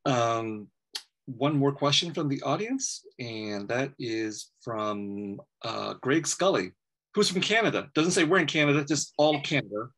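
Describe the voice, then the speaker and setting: A man's voice: mid-range voice, expressive, measured pace, fairly bright tone. A middle-aged man moderating an author event, reading audience questions aloud over a video call. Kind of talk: hosting a Q&A. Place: room with full bookshelves, indoors.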